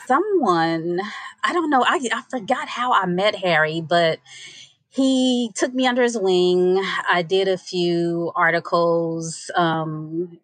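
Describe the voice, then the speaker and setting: A woman's voice: fairly low pitch, slow, no room sound. A woman guest storyteller in a podcast interview, talking casually and unhurriedly with many fillers.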